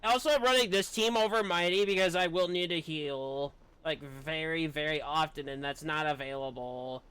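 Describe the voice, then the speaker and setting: A man's voice: on the high side, steady tone, moderate pace, pushed and bright, clear, resonant tone, poor audio. A man casually commenting live on a video game he is playing, as a streamer.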